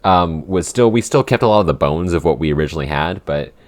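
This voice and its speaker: A man with an extremely deep voice chats as a podcast co-creator. His delivery is informal and unscripted, with loose phrasing as he works out his answers aloud. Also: animated, fast. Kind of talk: casual unscripted behind-the-scenes chat.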